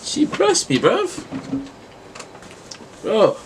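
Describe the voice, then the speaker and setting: A man's voice: extremely high pitch, wildly swooping pitch, very slow. A nineteen-year-old man chatting with strangers over video, slangy and stammering, repeating words playfully. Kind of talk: casual video chat banter. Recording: noisy video chat audio.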